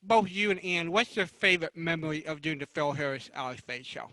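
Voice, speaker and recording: Bright-voiced man moderating a panel. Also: on the high side, steady tone, fairly quick, dry recording. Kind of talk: casual interview at a fan convention panel.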